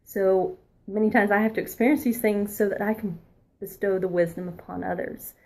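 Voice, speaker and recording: A woman past her twenties giving a spiritual oracle card reading, earnest and encouraging, sometimes dropping into a soft whisper. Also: medium pitch, fairly even intonation, moderate pace. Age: adult past her twenties.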